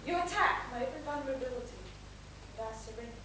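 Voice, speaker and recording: A woman's voice: high-pitched, lively intonation, fast. A woman playing an anguished married lady torn by secret passion in a stage period comedy, with melodramatic pleading delivery. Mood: agitated confession. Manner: melodramatic, breathless pleading, theatrical. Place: theatre stage, recorded from the audience. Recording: echoey theatre stage, distant mic, faint hiss.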